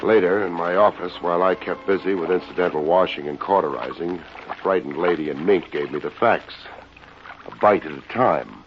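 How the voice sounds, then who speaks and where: An actor playing a wisecracking, deadpan private investigator with an American accent, in the style of fifties radio detective drama. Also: male voice, low-pitched, normal speed, slight background noise. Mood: wry narration. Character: wry, hard-boiled male private investigator. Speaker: radio detective lead and narrator. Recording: dull vintage radio recording, music behind.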